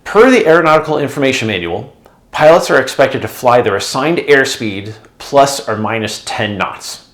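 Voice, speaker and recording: A man's voice: mid-range voice, animated, normal speed, fairly bright tone. A man narrating a scripted explainer in a didactic, conversational way. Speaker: presenter, former air traffic controller.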